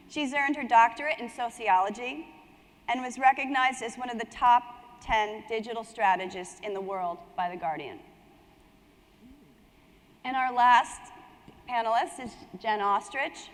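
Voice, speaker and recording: Higher-voiced woman moderating in a reverberant hall. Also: unhurried, pauses often. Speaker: conference panel moderator. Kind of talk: hosting a live panel, partly reading introductions from a script. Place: indoor conference hall on stage. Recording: echoey hall, long reverb.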